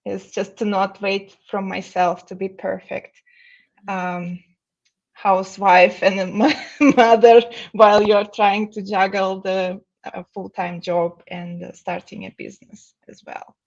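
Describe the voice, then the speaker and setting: A woman from Russia with a Russian accent, answering casually and somewhat haltingly as an interview guest. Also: medium pitch, flat delivery, vocal fry, lo-fi sound.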